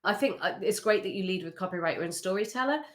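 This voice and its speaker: A woman hosting an interview, giving lively, chatty feedback on branding with frequent laughs. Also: mid-range voice, fast. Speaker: host.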